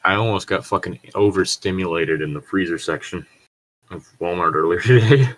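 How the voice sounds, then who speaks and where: Lower-voiced man, casual and sweary. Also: normal speed, fairly bright tone, low-quality recording. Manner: easily sidetracked, freely profane. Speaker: podcast co-host. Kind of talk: casual chat and read-aloud of internet horror stories.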